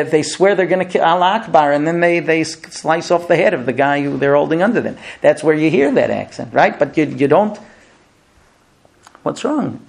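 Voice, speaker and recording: A man giving a sermon as a rabbi and teacher, telling a story and asking rhetorical questions, often repeating words. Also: high voice.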